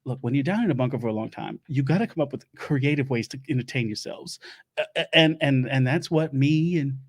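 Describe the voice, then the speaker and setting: A man's voice: medium pitch, fairly quick, natural voice. A man playing a bumbling self-proclaimed doomsday-prep lecturer who hosts a comedy show, with a put-on Louisiana accent, rambling and stumbling over his words. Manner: rambling, stumbling, laughs at own jokes. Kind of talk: improvised comic monologue. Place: home studio.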